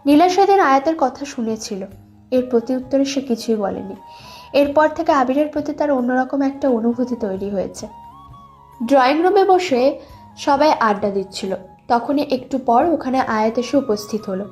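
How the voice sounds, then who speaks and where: A woman's voice: high-pitched, animated, moderate pace, a little mellow. A young woman reading scripted narration for a dramatized love story. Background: background music.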